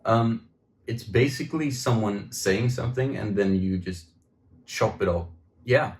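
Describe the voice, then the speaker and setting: A man's voice: fairly low pitch, a little slow, slight background noise. A man co-hosting a casual Q&A, reading viewer questions, giving brief dry replies with hesitant fillers. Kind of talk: casual Q&A chat. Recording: echoey room.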